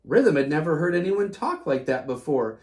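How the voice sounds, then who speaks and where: Very animated woman reading to children. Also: low-pitched, normal speed. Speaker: children's storybook reader. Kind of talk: animated read-aloud narration for children.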